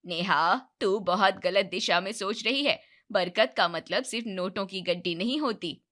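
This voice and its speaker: A woman's voice: medium pitch, moderate pace, a bit bright, natural voice. A woman voicing an affectionate middle-aged mother who advises her family in a cartoon moral story. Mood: affectionate, advising.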